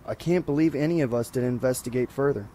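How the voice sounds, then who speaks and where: An actor playing a dutiful starship lieutenant, giving respectful, matter-of-fact reports in a sci-fi audio drama. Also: male voice, mid-range voice, quick pace, noisy background, lightly acted. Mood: businesslike. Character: dutiful male starship lieutenant on an away mission.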